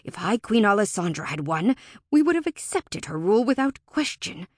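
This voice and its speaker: A woman narrator acts out a male Fae of fantasy fiction, frightened and quick to blame. He speaks with scowling, forceful indignation. The sound is dry and close. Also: mid-range voice, big pitch swings, no room sound. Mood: bitter, indignant. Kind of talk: audiobook character acting.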